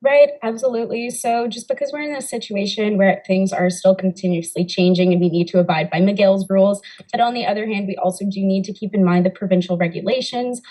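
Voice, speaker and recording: A young woman giving steady, assured answers as a candidate in a student debate. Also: medium pitch, a little fast, rough recording. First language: English. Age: young adult.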